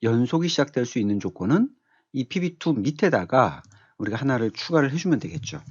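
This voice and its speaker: A man giving an unscripted software tutorial as an instructor, explaining step by step and asking rhetorical questions. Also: medium pitch, very animated, brisk, slightly rough, no room sound, muffled recording. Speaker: automation instructor. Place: dry-sounding voice-over on a screen recording.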